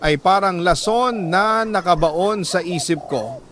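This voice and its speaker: A higher-pitched male voice of a storyteller playing a weary middle-aged working man recounting his life. He speaks solemnly and earnestly, pausing for drama. There is some noise behind the voice. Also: a bit bright. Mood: reflective, sorrowful. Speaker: storyteller narrating a listener's letter. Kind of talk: dramatic scripted first-person story narration.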